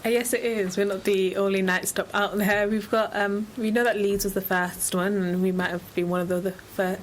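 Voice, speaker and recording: A woman's voice: medium pitch, steady tone, fairly quick, slight background noise. Informal woman explaining. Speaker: charity representative, interview guest. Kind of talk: informal interview answers.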